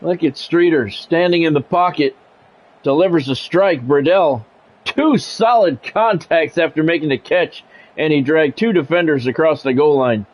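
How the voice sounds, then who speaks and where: A man's voice: fairly high pitch, normal speed, lo-fi sound. Man calling a game play-by-play. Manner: switches between broadcast calls and chatting with viewers; announcer cadence, builds up on big plays. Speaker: gaming streamer doing play-by-play. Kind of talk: radio-style play-by-play commentary over a video game.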